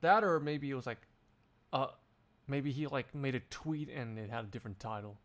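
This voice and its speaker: A man's voice: mid-range voice, moderate pace. A man chatting casually on a livestream in a rambling way, swearing here and there. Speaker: streamer doing a one-man live fan dub.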